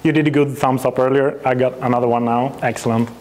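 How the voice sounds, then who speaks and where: A man's voice: mid-range voice, flat delivery, fast, a little mellow. A man in his thirties with a Swedish accent, a native Swedish speaker, giving a chatty, self-deprecating conference presentation on a lapel mic, with frequent ums and restarts. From Sweden.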